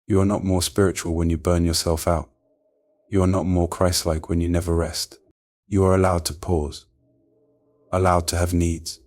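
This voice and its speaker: Male devotional narrator with a very low voice, soft and soothing, for a scripted sleep prayer. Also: level tone, moderate pace. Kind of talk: scripted bedtime prayer meditation.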